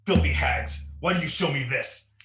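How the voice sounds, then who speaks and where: A man's voice: fairly high pitch, expressive, normal speed, vocal fry. A young man playing an ambitious, guilt-ridden king in a Shakespearean radio play, declaiming in a commanding, theatrical way. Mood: horrified, angry. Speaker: student performer. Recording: echoey room.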